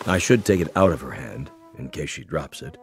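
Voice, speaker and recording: A deep-voiced pompous alien escort. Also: male voice, expressive, moderate pace, soft background music. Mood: genteel. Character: pompous alien royal escort, male, smug and genteel.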